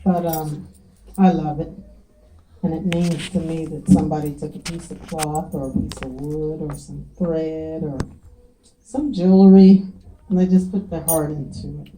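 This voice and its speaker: A woman with a very dark, mellow voice speaks heartfelt and folksy words to a gathering. Her talk is casual and encouraging, and she sounds slightly low for a woman. The room is echoey, with a faint hum of ambience behind her. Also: fairly even intonation, measured pace. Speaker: event host thanking guests. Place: community event with guests.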